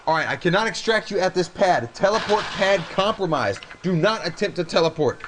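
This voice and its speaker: Slangy military hacker over noisy game audio. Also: male voice, sits high, animated, fairly quick. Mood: alarmed. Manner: informal, slangy, urgent. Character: frank, harried male military hacker running rebel teleport pads. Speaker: radio communications and teleport operator. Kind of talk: video game voice acting.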